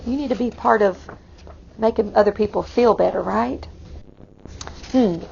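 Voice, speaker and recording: A woman reading a picture book aloud to children, expressively, pausing to explain it in simple asides. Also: medium pitch, gravelly, slightly rough, dull audio. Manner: expressive, explains the story to young listeners. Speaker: read-aloud storyteller for children.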